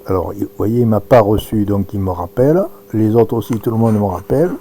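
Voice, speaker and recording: A man giving an informal live demonstration as an amateur radio operator, halting and thinking aloud as he works. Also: lowish voice, warm tone. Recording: noisy recording with audible hum.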